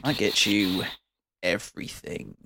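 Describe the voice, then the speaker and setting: A man's voice: lowish voice, takes it slowly, sharp tone, breathy edge, no echo. A man co-hosting a podcast, reading a sponsor plug with dry wisecracks. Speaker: podcast co-host. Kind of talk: casual chat.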